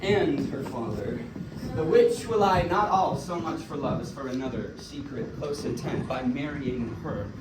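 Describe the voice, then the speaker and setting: A man's voice: mid-range voice, unhurried, few pauses, lightly acted. A young man acting a scheming, commanding villainous nobleman with mock courtesy, in a theatrical Shakespearean stage style. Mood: sly, scheming. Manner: theatrical, declamatory. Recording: roomy, distant mic, very noisy.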